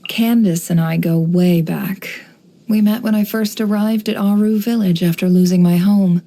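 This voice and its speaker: Mellow, wry, knowing woman spymaster played by an actress. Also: mid-range voice, steady tone, close to an everyday voice. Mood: knowing, musing. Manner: composed, wry, knowing, measured. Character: shrewd, worldly woman who runs an intelligence business. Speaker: video game character voice actor. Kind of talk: scripted game character voice lines, monologue.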